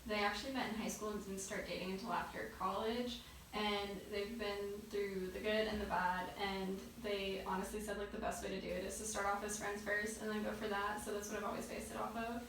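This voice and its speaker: A young woman answers interview questions in a conversational way. Her middle-pitched voice comes from a distance in a very echoey room, with faint noise underneath. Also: flat delivery, brisk, fairly bright tone.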